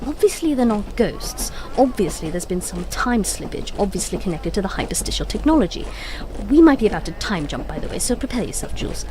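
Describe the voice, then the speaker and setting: A woman playing a brisk, witty, know-it-all time-travelling investigator in an audio drama, with a wry, breezy, playful manner. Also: on the high side, background noise. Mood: brisk explaining.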